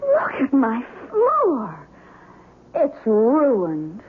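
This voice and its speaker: An uneasy married woman in a 1970s radio play. Her voice is fairly high and very expressive, moving slowly and hesitantly. The old broadcast sounds dull and slightly noisy. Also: heavily acted. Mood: dismayed. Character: uneasy, dismayed wife and homeowner. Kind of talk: 1970s radio drama acting.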